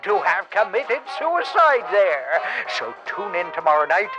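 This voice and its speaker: An actor playing a cocky radio disc jockey with showy, teasing, folksy patter in 1940s radio style. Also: male voice, high-pitched, very animated, measured pace. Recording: dull vintage radio recording with music.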